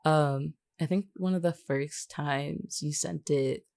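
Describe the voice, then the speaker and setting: A woman's voice: sits low, steady tone, takes it slowly, gravelly, a little mellow, no room sound. A woman chatting casually as a podcast co-host, rambling and teasing as she goes. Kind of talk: casual behind-the-scenes podcast chat.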